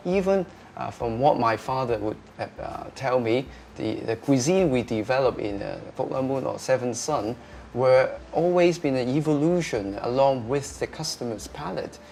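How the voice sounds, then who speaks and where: A young man, answering interview questions as a restaurateur, pausing often with filler sounds. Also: mid-range voice, animated, vocal fry, a little mellow, slight background noise. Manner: hesitant, many filler sounds.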